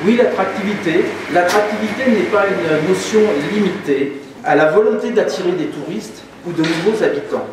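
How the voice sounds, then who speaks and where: A man giving a formal prepared speech as an official, with measured, didactic phrasing. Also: high voice. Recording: very echoey hall, distant mic, noisy.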